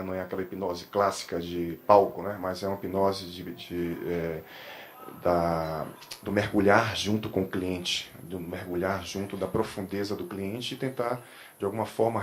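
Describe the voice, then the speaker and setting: A man with a Brazilian accent from Bahia gives an informal explanatory lecture as a therapist and trainer, rephrasing and repeating words as he goes. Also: on the low side. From Salvador, Brazil. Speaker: therapist and trainer giving a talk. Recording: clear background room noise.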